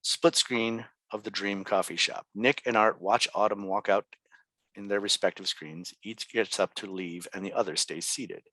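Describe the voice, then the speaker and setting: Low, dry-sounding man narrating a script. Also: steady tone, moderate pace, fairly bright tone, no echo, rough recording. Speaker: stage directions narrator.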